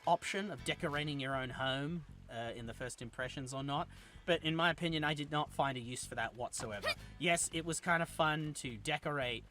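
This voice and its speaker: Bright-voiced chatty man reviewing a game. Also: a little high. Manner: rambling and chatty, with frequent self-corrections. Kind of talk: casual game review commentary.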